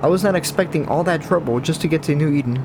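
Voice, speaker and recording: A man with a deeper, just-woke-up morning voice, chatting casually and rambling over his gameplay as a gaming video host. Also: fast, vocal fry, background noise. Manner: chatty, rambling, self-correcting. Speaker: gaming YouTuber. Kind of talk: casual let's-play commentary. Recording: voice over loud game audio.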